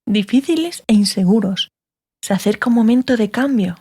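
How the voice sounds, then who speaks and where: An actress playing a stern, sardonic sorceress, curt and authoritative, in a dramatized fantasy audiobook. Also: female voice, fairly high pitch, lively intonation, moderate pace, darkish tone, breathy edge. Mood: grave. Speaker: audiobook actor.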